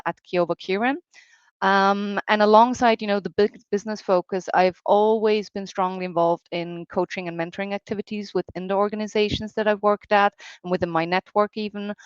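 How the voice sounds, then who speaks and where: A woman's voice: on the low side, smooth, no room sound, lo-fi sound. A woman from Austria giving a fluent, polished self-introduction as a panel guest. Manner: fluent, polished, list-like delivery. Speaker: panel guest, medical director.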